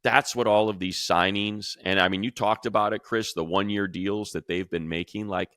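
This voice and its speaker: A man with a very deep voice and a bright, punchy edge talks through sports analysis. He is conversational and emphatic, rambling with asides. The sound is close and dry. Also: steady tone, moderate pace, dry recording. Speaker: sports show host and analyst. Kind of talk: casual sports analysis talk.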